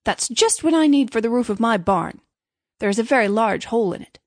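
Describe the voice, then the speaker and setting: A woman's voice: fairly high pitch, lively intonation, fairly quick, dry recording. A woman voicing a pompous, greedy male miller in a storybook, preachy and self-satisfied while posing as generous. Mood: opportunistic. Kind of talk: storybook character voice.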